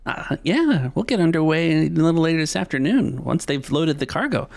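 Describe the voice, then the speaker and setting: A man puts on an eager young ship hand for a tabletop game. The voice is pitched high and sounds keen to help, in a close, dry recording. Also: very animated, moderate pace, vocal fry, a little mellow, dry recording.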